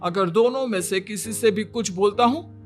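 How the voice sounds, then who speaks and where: A man's voice: high-pitched, normal speed, faint music behind, natural voice. A man playing an exasperated, put-upon young Indian husband and son in a cartoon story, with a weary, complaining manner. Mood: troubled.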